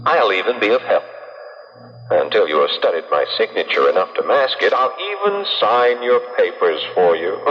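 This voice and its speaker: Casting: taunting phantom twin, suave and theatrical, highly animated delivery, muffled vintage radio sound with long reverb. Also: male voice, normal speed, a little hiss or hum. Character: mocking ghost of a murdered, crooked twin brother. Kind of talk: old-time radio drama acting.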